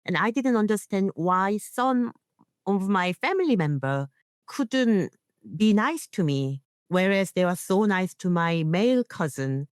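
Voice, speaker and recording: A woman's voice: mid-range voice, expressive, a little slow, smooth, no room sound, clean studio sound. A middle-aged woman, an English speaker, giving an earnest personal account as an interview guest. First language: not English. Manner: earnest, non-native phrasing. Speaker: guest, internationally trained nurse and leader.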